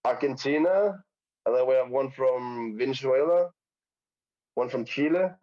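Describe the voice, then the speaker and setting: A man from Denmark, a podcast guest who talks haltingly, repeating words and using many fillers. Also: mid-range voice. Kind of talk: casual interview chat. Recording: lo-fi podcast audio.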